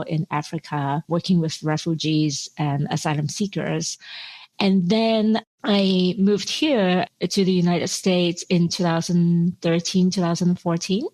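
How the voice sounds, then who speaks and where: A woman's voice: on the low side, a little mellow. A woman in her forties from Hong Kong, a podcast guest telling her own story in a reflective way and laughing often. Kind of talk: personal interview storytelling.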